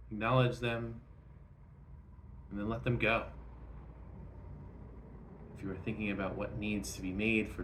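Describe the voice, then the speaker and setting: A man with a fairly low voice guides a meditation. He gives gentle instructions in short phrases, leaving frequent pauses between them. Some ambient noise sits behind his voice.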